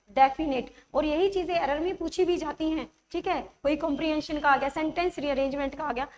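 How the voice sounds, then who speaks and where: A woman teacher with a high voice leads an online grammar class, her throat sore. She keeps coaxing the class with quick check-ins and slows right down to spell out examples. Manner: coaxing, checks understanding often; writes examples while speaking, slows to dictate them.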